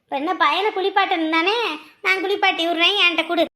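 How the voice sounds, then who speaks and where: A soothing older village woman played by a woman in a family drama, high in pitch. She coaxes and placates fondly. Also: steady tone, fast, pushed and bright, reverberant. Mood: conciliatory insisting. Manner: coaxing, conciliatory.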